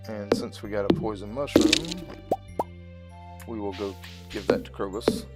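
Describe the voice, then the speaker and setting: A man's voice: on the low side, flat delivery, unhurried. A man giving casual let's-play commentary on a video game, talking through each step as he plays. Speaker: gaming video host. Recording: game music loud behind voice. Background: loud video game music and sounds.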